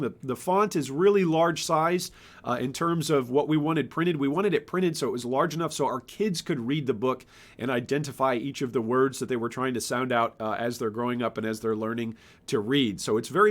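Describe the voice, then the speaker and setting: Male author-host, 30s, slightly high voice, storybook read-aloud and informal book presentation.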